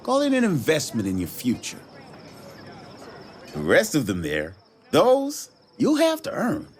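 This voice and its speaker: An actor playing a cocky, folksy racing mentor in a video game, with a swaggering, teasing, informal way of talking. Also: male voice, fairly high pitch, huge pitch swings, unhurried, slight background noise. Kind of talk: video game cutscene acting.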